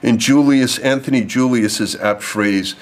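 A man's voice: medium pitch, unhurried. A man delivering a prepared lecture to an audience, reading formal text and adding off-script asides. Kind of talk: prepared speech read to an audience.

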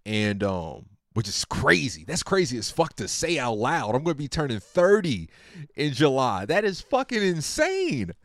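A man's voice: mid-range voice, huge pitch swings, normal speed, dry recording. A man in his late twenties talking casually and at length about his family, in a rambling, slang-heavy, laid-back way. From Virginia. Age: 20s. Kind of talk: casual personal monologue.

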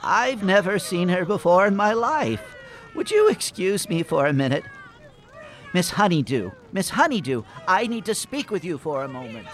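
A man's voice: sits high, sing-song, moderate pace, fairly bright tone. A man playing a smug, evasive rabbit company spokesman in a comic radio play, blithe and officious. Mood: flustered, evasive.

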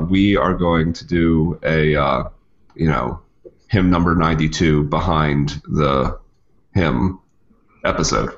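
A man with a very low voice talks in dry, joking chat that rambles with uhs, and he sounds like he is getting over a cold. The audio is dull and lo-fi. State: recovering from a cold. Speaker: podcast co-host. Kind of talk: casual podcast chat.

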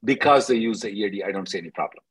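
Animated, husky man giving blunt advice. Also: lowish voice, racing. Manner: blunt, emphatic, repeats himself. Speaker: immigration attorney hosting a call-in. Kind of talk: informal question-and-answer advice, reading out questions.

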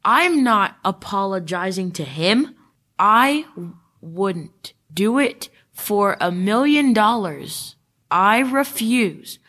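A woman's voice: medium pitch, lively intonation, takes it slowly, fairly bright tone. A sneering schoolboy bully acted by a woman in an amateur radio play. He is stubborn and mocking, dragging out his words in a mid-range voice. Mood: defiant.

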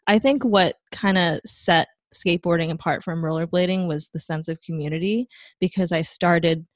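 A young woman from Taiwan, a guest in a casual interview, talking in a relaxed, rambling way with plenty of fillers. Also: on the low side, dry recording, clean studio sound. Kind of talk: casual conversation.

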